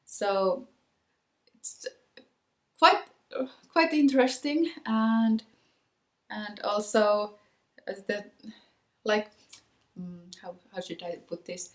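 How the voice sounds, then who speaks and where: A Finnish woman speaking English as a second language, chatting slowly and thoughtfully to her viewers. Her voice sits at an ordinary middle pitch, and she hesitates often, restarting her phrases. The sound bounces around a reverberant room. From Finland. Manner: hesitant, many ums and restarts. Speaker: knitting podcast host. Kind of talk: casual chat to camera. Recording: echoey room.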